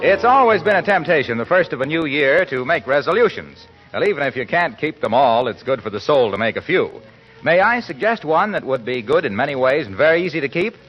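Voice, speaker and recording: A dull vintage radio recording with an American male announcer, slightly high and husky. He delivers programme and sponsor copy in 1940s style with very lively pitch. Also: brisk, soft background music.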